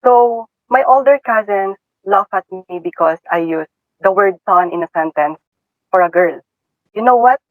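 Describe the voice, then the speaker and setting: High-voiced young Filipino-accented man. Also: normal speed, dry recording, phone-quality sound. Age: young adult. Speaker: student podcast guest. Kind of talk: prepared personal testimony.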